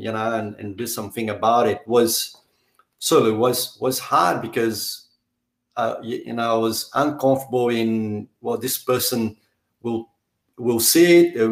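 A man from Brazil, speaking as an interview guest in a halting way, often stopping to search for words and restart. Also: mid-range voice. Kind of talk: conversational interview. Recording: roomy echo.

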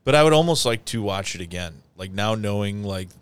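A man's voice: fairly low pitch, expressive, fairly quick, smooth. A man in casual conversation about a movie, swearing freely and saying "like" a lot. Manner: loose, swears freely, uses "like" often. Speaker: podcast co-host.

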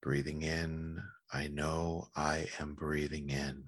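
A man leading a guided meditation in a calm, gentle, measured manner with long pauses. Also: extremely low pitch, no room sound.

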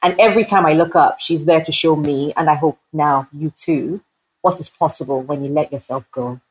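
A woman podcast host with a low voice with a husky edge. She talks in a casual, playful way, moving between interview questions and animated storytelling. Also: moderate pace, rough recording. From Nigeria.

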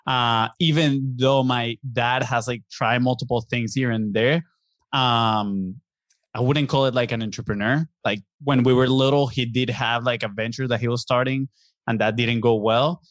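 A man's voice: mid-range voice, steady tone, normal speed, a bit bright, no room sound. Guy from venezuela, 30s, earnest podcast guest explaining stuff, mid voice, dry mic. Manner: earnest, explaining, often ends points with "right?". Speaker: guest, business co-founder. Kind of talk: casual interview conversation.

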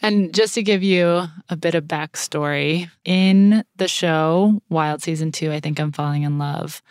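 A woman's voice: sits low, unhurried, darkish tone, clear, resonant tone, no echo, studio quality. An American woman co-hosting a podcast in a conversational style. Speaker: podcast co-host.

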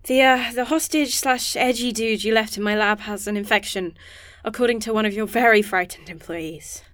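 Woman playing a higher-voiced, wry sci-fi train crewmate. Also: normal speed, fairly bright tone, no room sound, studio quality, close to an everyday voice. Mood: flustered, breathless. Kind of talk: audio drama acting.

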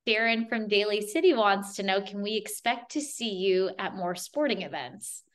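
Casting: woman podcast host, medium pitch, posing interview questions to a guest. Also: lively intonation, moderate pace. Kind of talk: interview questions, reading listener questions.

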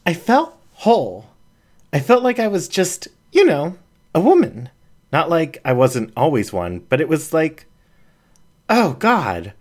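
A woman's voice: rumbling low, darkish tone, exaggerated voice. A reader voicing a shy young female raccoon who talks in hesitant, emotional bursts.

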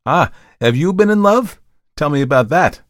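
A man's voice: mid-range voice, big pitch swings, moderate pace, no echo, studio quality, voice pushed up, close to an everyday voice. A man voicing an agitated, brooding male character in a dramatic storybook reading, speaking with bitter, pleading intensity. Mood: strange curiosity. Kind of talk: dramatic audiobook character acting.

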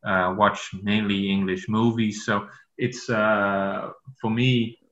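A man from the Netherlands whose first language is Dutch, speaking English as a podcast guest and talking haltingly, with many fillers and restarts. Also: lowish voice, flat delivery, reverberant, dull audio, noisy background. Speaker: author guest. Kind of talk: podcast interview.

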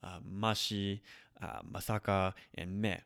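Low-voiced man teaching Japanese casually. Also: slow pace, creaky. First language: English. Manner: relaxed, self-correcting, mixes in Japanese phrases. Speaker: podcast host teaching Japanese. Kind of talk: casual spoken grammar lesson.

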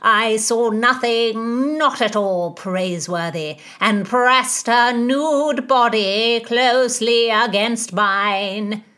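A woman's voice: fairly high pitch, fairly bright tone, voice pushed up. Woman playing a bellowing, bawdy old nobleman. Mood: bawdy, declaiming. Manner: bellowing, drawn-out theatrical declamation. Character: bawdy, puckish old nobleman declaiming and singing verse.

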